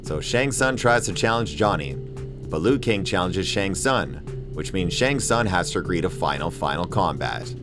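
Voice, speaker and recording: A man's voice: sits low, lively intonation, normal speed, music in the background. A man hosting a movie review video, giving comedic commentary in a wry, mock-exasperated way with chuckles.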